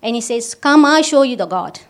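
A woman from Malaysia, giving a personal testimony at a church service in halting English with restarts. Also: fairly high pitch, expressive. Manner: halting, with restarts and simple non-native grammar.

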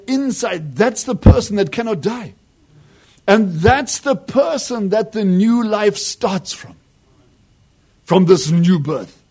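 Husky-voiced high man preaching fervently. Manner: fervent preaching with swings between loud emphasis and hushed asides. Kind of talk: sermon.

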